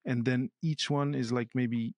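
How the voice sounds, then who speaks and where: A man's voice: medium pitch, fairly even intonation, a little slow, no echo, clean studio sound. A man from Portugal, speaking natively, talking as a podcast guest in a thoughtful way, often searching aloud for the right words. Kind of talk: casual conversation.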